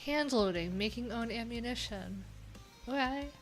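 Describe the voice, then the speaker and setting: A woman's voice: fairly high pitch, normal speed, rough recording. A woman hosting a casual livestream, reading out viewers' answers and adding loose, chatty asides with lots of fillers. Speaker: livestream host. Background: pop punk music playing.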